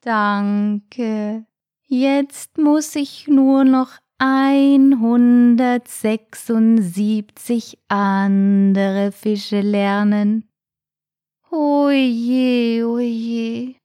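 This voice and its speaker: A little sea snail from a children's storybook, thin and high, timid but a touch proud. Every word is stretched out at a crawl, haltingly. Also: female voice, fairly even intonation, mellow, clear, resonant tone, no echo, clean studio sound. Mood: worried, plaintive. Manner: very drawn-out, halting delivery.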